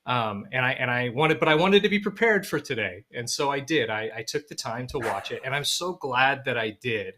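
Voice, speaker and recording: A man's voice: medium pitch, expressive, fairly quick, few pauses, pushed and bright. A man speaking casually and enthusiastically as a film director guest in an informal interview.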